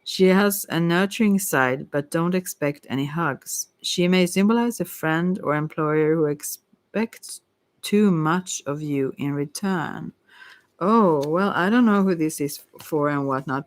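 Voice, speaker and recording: Low-voiced woman giving a soft tarot reading. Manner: soft-spoken, rambling, hesitant, giggly asides. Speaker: tarot reader and ASMR host. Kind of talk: casual ASMR tarot reading.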